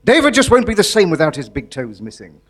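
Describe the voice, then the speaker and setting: A man's voice: high voice, huge pitch swings, fast talker, a bit bright, heavily acted. A man acting in a live comedy as a weary, sardonic, exasperated judge with a formal manner that slips into spluttering. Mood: incredulous.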